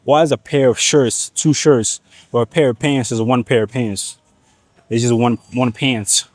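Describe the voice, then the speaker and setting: A man's voice: medium pitch, fairly even intonation, a little slow, a little mellow, no room sound. A man from Nigeria whose first language is Igbo, rambling casually in a gym vlog with slang and swearing. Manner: slangy, profane, rambling asides. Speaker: fitness vlogger.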